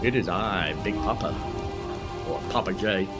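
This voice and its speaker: A man's voice: low-pitched, a little slow. A man giving casual, rambling gameplay commentary as a streamer, often repeating his phrases. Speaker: gaming streamer. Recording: music behind the voice.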